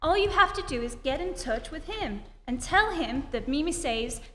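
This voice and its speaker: In a reverberant hall with faint noise, a woman plays a radio-drama society matron. She is high-pitched, effusive and theatrical, by turns pleading and snappish. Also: expressive, moderate pace, bright, forceful tone. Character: gushing, overdramatic society mother, pleading and snappish. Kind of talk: old-time radio play re-enactment.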